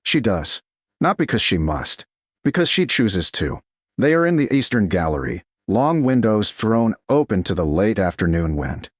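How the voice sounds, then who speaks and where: Guy reading a romance story, lowish voice, lots of rise and fall, dramatic storytelling. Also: very animated, normal speed, no echo, clean studio sound. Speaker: audiobook narrator. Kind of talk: scripted romance narration, slow and dramatic.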